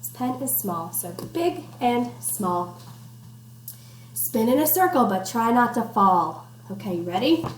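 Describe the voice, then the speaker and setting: A woman with a fairly high, very animated voice reads a picture book aloud to young children. She speaks slowly, encouraging them and giving simple instructions, in an echoey room at home. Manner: encouraging, addresses children directly with questions and instructions. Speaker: teacher reading aloud to children. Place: at home, indoors. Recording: echoey room with faint background noise.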